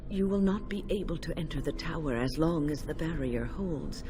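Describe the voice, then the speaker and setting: Low-voiced, stern older mage woman. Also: expressive, moderate pace. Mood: determined. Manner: formal, stern, resolute. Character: firm, protective older female circle mage. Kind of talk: video game cutscene acting. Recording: loud music behind the voice.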